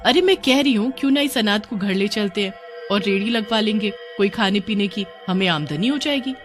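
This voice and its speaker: A woman voicing a greedy, scolding middle-aged wife in a story, with a sharp, nagging, emphatic manner. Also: mid-range voice, animated, brisk, faint music behind, close to an everyday voice. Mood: nagging, scheming. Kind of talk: dramatised Hindi storytelling.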